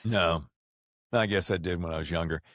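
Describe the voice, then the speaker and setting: A man's voice: low-pitched, moderate pace, a bit bright, no echo, studio quality. A man hosting a podcast interview in a casual, informal and enthusiastic way. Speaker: podcast host.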